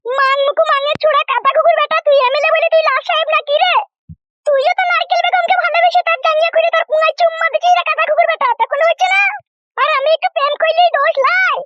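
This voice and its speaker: A woman's voice: extremely high pitch, steady tone, fast, highs cut off. A woman voicing an indignant, foul-mouthed wife in a comic cartoon. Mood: indignant scolding. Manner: scolding, threatening, heavy rustic swearing.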